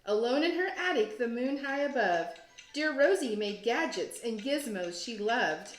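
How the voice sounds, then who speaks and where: Woman reading a storybook, slightly high, roomy. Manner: expressive, deliberate storytelling phrasing. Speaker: teacher, guest read-aloud host. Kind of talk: children's storybook read-aloud. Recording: roomy echo, music behind. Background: storybook app music.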